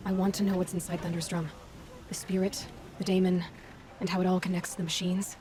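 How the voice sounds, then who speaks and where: A woman's voice: fairly low pitch, little pitch movement, brisk, warm tone, slight background noise, close to an everyday voice. A woman playing a frank, determined young outsider huntress in a video game, direct and plain-spoken with a wry edge. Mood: firm, questioning. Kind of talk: naturalistic video game acting.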